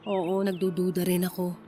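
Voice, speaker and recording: A woman playing a suspicious, worried wife confiding in a younger sister in a radio drama, hesitant and defensive. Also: fairly low pitch.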